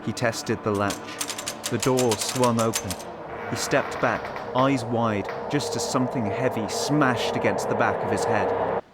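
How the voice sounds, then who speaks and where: A man's voice: medium pitch, measured pace, very noisy. A man reading a third-person horror story as a scripted narration, with music behind him. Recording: music under the voice.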